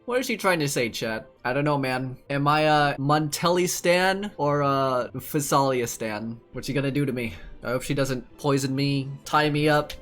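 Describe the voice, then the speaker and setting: A man's voice: medium pitch, moderate pace, hardly any pauses, fairly bright tone. Casual young guy streaming a game. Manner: slangy, rambling, thinking aloud. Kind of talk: casual live playthrough commentary. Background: game music.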